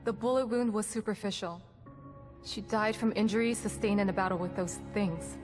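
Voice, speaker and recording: A woman playing an earnest, sympathetic ally in a horror video game, speaking in a serious, measured, sincere way. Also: mid-range voice, natural voice. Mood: sombre. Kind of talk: dramatic video game acting. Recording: long reverb, music behind voice.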